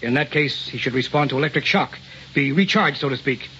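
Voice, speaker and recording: A hissy, dull vintage radio recording of an actor as an earnest professor. He speaks at a middling pitch, measured and formal, a puzzled man of science in a radio play. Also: bright, forceful tone, lightly acted. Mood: intent. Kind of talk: old-time radio drama acting.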